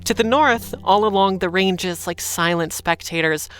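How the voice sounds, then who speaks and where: Young noir drifter, low-pitched for a woman, dry, weary, guarded, audio drama, music underneath. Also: measured pace, fairly bright tone. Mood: weary, bleak. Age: young adult. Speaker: lead character and narrator in an audio drama.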